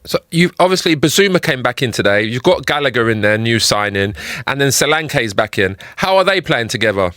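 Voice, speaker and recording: A man's voice: medium pitch, animated, fairly quick, few pauses, pushed and bright, no echo, studio quality. A man co-presenting a sports show, teasing and challenging the guest. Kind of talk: live studio banter.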